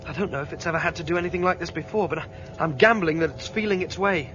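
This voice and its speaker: A man playing an urgent, defiant rebel on the run in a 1970s sci-fi radio drama, brisk and insistent. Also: a little high, close to an everyday voice. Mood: tense reasoning. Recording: dull lo-fi radio recording, faint music.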